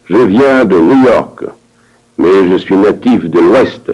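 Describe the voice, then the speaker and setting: A man reading scripted dialogue for a language course with an expressive, theatrical delivery. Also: deep voice, slow, low-quality recording.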